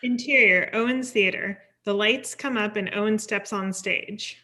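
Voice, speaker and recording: A woman's voice: medium pitch, unhurried, sharp tone. A woman reading stage directions as scripted narration at a table read.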